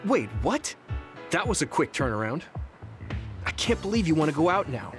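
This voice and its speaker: A man's voice: a little high, sing-song, moderate pace. An actor playing an earnest, questioning young male soldier in a video game cutscene. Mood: puzzled, earnest. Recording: music behind the voice.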